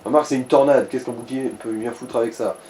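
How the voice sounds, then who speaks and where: A man's voice: mid-range voice, fairly even intonation, fast talker, over a noisy crowd. A man giving casual, slangy gaming advice in speech, explaining tactics with crude language. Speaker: let's play co-commentator advising the player.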